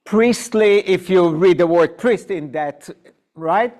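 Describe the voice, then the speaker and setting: A rabbi with an Israeli accent gives an adult Bible class in a synagogue classroom. His voice sits high for a man and he takes his time. He asks the room questions as he explains. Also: takes it slowly, a little mellow. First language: Hebrew. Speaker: rabbi teaching adult education. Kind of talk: informal Bible class lecture.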